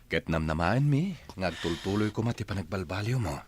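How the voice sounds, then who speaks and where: Man acting very deep-voiced, dutiful grown son. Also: lively intonation, moderate pace, a little mellow, slightly rough. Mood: gentle. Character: dutiful, forgiving adult brother and son. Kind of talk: Ilocano radio drama acting.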